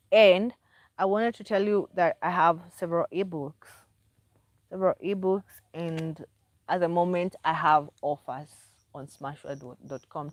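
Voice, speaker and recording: A woman's voice: fairly low pitch, no echo. A Kenyan woman hosting a casual livestream, chatty and repetitive as she greets viewers by name and promotes her books. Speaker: livestream host and author.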